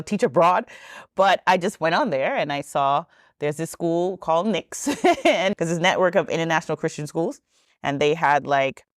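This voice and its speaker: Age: 40s. Sex: woman. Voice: low-pitched, dry. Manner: chatty, rambling. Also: dry recording. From Trinidad and Tobago. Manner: chatty and rambling, frequent ums and self-corrections, laughs easily. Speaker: podcast guest, teacher. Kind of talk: casual storytelling interview.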